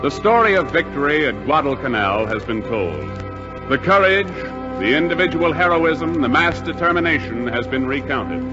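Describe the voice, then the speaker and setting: A man's voice: fairly high pitch, expressive, moderate pace. An American man narrating a scripted 1940s radio drama as announcer, solemn and declamatory. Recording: muffled 1940s radio, loud music behind.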